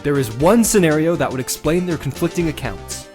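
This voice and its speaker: A man plays an earnest young male defense attorney in a game drama. His voice sits a little high and swings expressively, with emphatic, declamatory phrasing and a sardonic edge. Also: expressive, brisk, darkish tone, close to an everyday voice. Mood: thoughtful, assertive. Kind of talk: dramatic voiced-over video game courtroom dialogue. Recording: music behind the voice.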